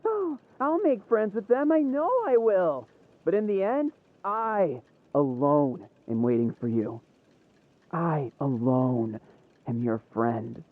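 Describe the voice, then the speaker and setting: A man's voice: a little high, lively intonation, slow, narrow, tinny audio. An actor playing a theatrical, possessive and spiteful guard in a video game, taunting and mocking in his delivery. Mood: possessive, gloating.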